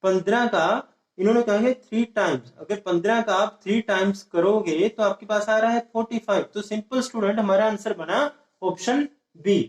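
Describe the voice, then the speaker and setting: A man's voice: high voice, moderate pace. A man teaching an exam-prep math lesson, repeating each step and checking whether students follow. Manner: repeats steps and keeps asking students if it is clear. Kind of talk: whiteboard lesson explaining age problems. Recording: echoey room.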